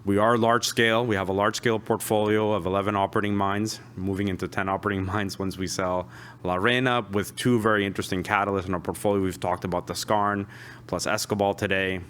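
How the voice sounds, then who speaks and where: Low-voiced Peruvian executive summing up. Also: male voice, level tone, fast, a bit bright, a little hiss or hum. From Peru. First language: Spanish. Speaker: finance executive presenting. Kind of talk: investor presentation.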